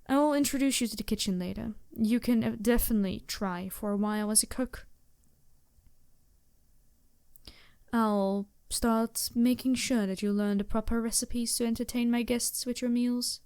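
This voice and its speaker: A regal demon princess, acted out by a woman in an ASMR roleplay, with a highish voice. She addresses the listener in measured, slightly stilted formal phrases. Her temper is empathetic, with a teasing, flirtatious streak. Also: fairly even intonation, a little mellow, clean tone, lightly acted. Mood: teasing, fond.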